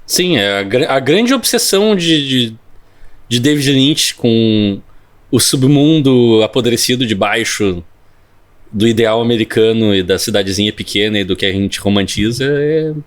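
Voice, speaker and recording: A man's voice: medium pitch, lively intonation, moderate pace. A man co-hosting a casual film podcast, reading a plot summary aloud with joking asides and occasional stumbles. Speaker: podcast co-host.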